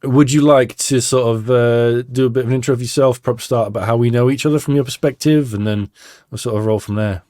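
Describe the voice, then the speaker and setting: A man's voice: mid-range voice, fairly even intonation, quick pace, darkish tone, no room sound, clean studio sound. A man hosting a casual podcast, chatty and self-deprecating, a little stuffy from a cold. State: slightly stuffy nose from a cold. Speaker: podcast host. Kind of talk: casual chat.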